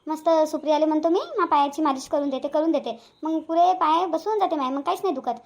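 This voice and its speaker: Lady doing a whiny older varhadi village wife for a cartoon, really high voice, quick talker. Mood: plaintive, demanding. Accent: Varhadi, Vidarbha. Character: complaining older village wife with aching knees.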